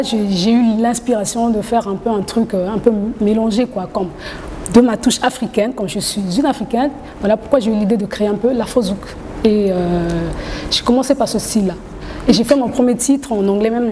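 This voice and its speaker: A woman from Congo-Brazzaville, chatting as an interviewed singer with hesitant, halting phrasing. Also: mid-range voice, dark tone. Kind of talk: casual interview chat. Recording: reverberant hall, background noise.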